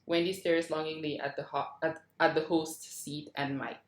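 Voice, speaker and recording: A woman's voice: sits low, flat delivery, moderate pace. A woman reading out scene headings and action lines from a script as scripted narration. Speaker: reader of stage directions at a table read. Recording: roomy, echoing video call audio.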